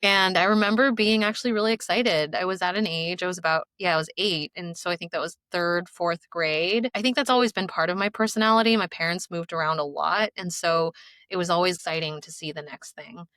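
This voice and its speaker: A woman's voice: on the low side, fairly even intonation, a little fast, fairly bright tone, no room sound. A woman in her thirties from the United States and China, telling her own story casually and upbeat as a podcast guest. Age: 30s. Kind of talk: conversational personal storytelling.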